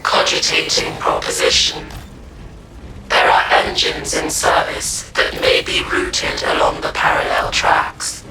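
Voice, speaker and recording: An actor playing a mechanical announcer who reads out faults and orders in clipped, bureaucratic phrases with a deep gravelly monotone. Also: male voice, slow pace, full character voice. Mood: flat. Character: rumbling low machine announcer, mechanical and flat. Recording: echoey and distant, under loud game music.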